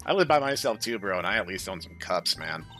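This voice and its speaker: A man hosting a gaming playthrough, reading visual novel dialogue aloud in an expressive way. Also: deep voice, a little fast, faint music behind. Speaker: gaming channel host.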